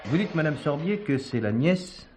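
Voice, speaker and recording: A man's voice: fairly high pitch, expressive, moderate pace, over music, natural voice. A man playing a probing police commissioner with a dry, sardonic manner in a French play. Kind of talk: French radio play acting.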